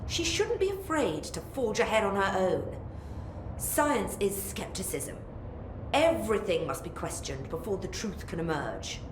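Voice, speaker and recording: A woman's voice: medium pitch, big pitch swings, unhurried. A woman acting as a brusque, self-assured research scientist in a video game, speaking with pompous, condescending precision. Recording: echoey room, noisy ambience behind.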